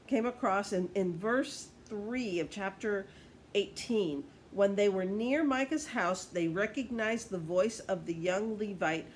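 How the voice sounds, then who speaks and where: A woman who grew up in Puerto Rico leads a Bible study lesson, thinking aloud, pausing and restarting as she checks her notes. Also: medium pitch, a little hiss or hum.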